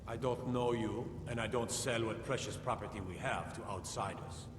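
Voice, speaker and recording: An actor playing a proud, impatient lord in a fantasy video game, speaking formally and curtly. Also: male voice, fairly low pitch, normal speed, close to an everyday voice. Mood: stern, grave. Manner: authoritative, curt, formal. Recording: echoing hall, distant, noisy game capture.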